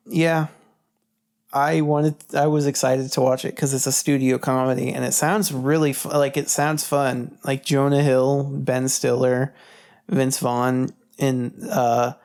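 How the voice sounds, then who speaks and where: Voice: mid-range voice, darkish tone. A podcast co-host chatting casually about movies, blunt and emphatic, swearing freely.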